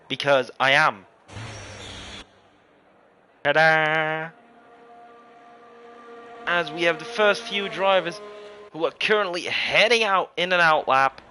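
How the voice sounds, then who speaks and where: A man's voice: fairly high pitch, sharp tone, slight background noise. A man from Europe giving casual live sim racing commentary as a livestream host, mixing it with jokey, mock-indignant banter.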